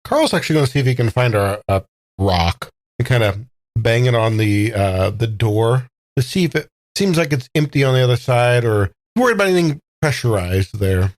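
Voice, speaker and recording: A man's voice: mid-range voice, lively intonation, normal speed, dry recording. A man playing a tabletop game, describing his character's actions casually and asking questions in a matter-of-fact, inquisitive way. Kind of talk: casual role-play chat.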